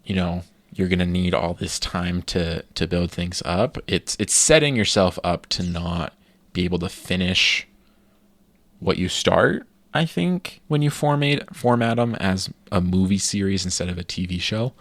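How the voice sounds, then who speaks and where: A man co-hosting a podcast in casual chat, giving opinions with halting restarts. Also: deep voice, expressive, no room sound. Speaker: podcast co-host.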